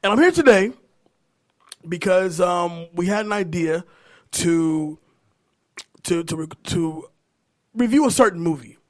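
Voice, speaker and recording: High-pitched man hosting a casual podcast. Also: a little slow, pauses often. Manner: profane, animated banter. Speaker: podcast host. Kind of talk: casual movie podcast chat.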